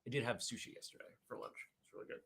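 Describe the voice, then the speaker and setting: A man's voice: very fast, a bit bright. A man hosting a martial arts morning show, chatting loosely with viewers, often restarting sentences and reading their comments aloud. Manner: rambling, self-correcting, reads viewer chat aloud. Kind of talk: casual live-stream chat with viewers.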